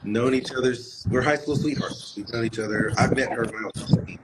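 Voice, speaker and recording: A man's voice: medium pitch, fairly even intonation, fairly quick. A man from San Diego who grew up in Atlanta, a guest casually telling a rambling story with frequent restarts and laughs. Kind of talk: casual storytelling over video call. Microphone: video call. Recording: loud background noise on video call.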